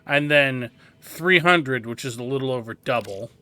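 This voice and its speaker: A man's voice: medium pitch, normal speed, bright, forceful tone, dry recording. A man giving a casual game walkthrough, explaining things as he goes and thinking aloud. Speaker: gameplay guide host.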